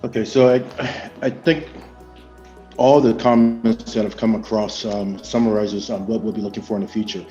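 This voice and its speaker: An American man gives a panel answer in a measured, hesitant way, full of filled pauses. His voice is mellow and dark with little melodic movement, and the audio is muffled. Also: on the low side, little pitch movement, soft background music. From United States. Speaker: panelist from industry. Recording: muffled, echoey online audio.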